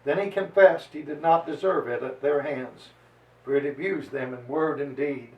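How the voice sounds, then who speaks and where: A man giving an emphatic, declamatory dramatized reading of a historical chronicle, stretching out phrases deliberately. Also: fairly high pitch, muffled recording. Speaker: reader and lecturer on history. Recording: roomy echo, voice distant from microphone.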